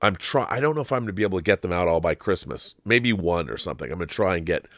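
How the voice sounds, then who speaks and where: A middle-aged American man hosts a casual livestream in a low voice. He talks in a rambling, conversational way, doubling back and restarting his thoughts. The sound is very dry, with no room echo. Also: expressive, racing, fairly bright tone. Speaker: host of a live YouTube show. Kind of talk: casual livestream monologue answering viewer comments.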